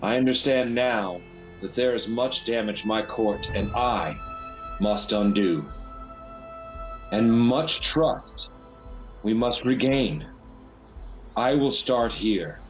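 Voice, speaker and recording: An actor playing a noble, earnest young king in a fantasy radio play, measured and solemn. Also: medium pitch, soft background music, lightly acted, poor audio.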